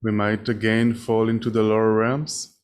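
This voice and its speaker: Man, meditation teacher, lowish pitch, flat intonation, measured phrasing, long pauses. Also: clear, resonant tone. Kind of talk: guided meditation, spoken instruction.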